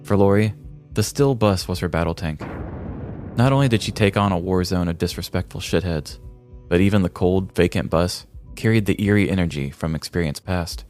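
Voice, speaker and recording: American guy in his 30s, low voice, telling a scripted funny story, faint music under. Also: normal speed, darkish tone. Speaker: podcast host, storyteller. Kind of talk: scripted comedic narration.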